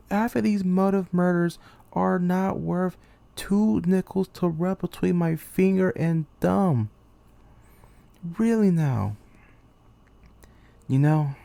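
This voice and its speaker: Male, dark and creaky mid-range voice, for a weary, embittered student leader in an intense amateur drama monologue. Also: medium pitch. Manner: brooding, intense, swearing. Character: weary, bitter young male student commander trapped in a killing game. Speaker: aspiring voice actor.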